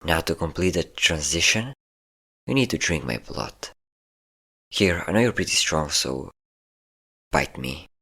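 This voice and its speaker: Very deep, smug male vampire, acted by a man. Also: lively intonation, unhurried, pauses often, pushed and bright, husky, full character voice. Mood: menacing, hungry. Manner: teasing, commanding, theatrically smug. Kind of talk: intimate roleplay audio drama.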